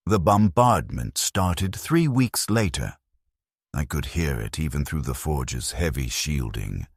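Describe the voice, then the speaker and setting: An extremely low-voiced man delivers a scripted, somber first-person story. His tone is resigned and rough-edged, moving widely in pitch. The sound is dry and close. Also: no room sound. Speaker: narrator of a first-person science fiction story. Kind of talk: scripted dramatic narration.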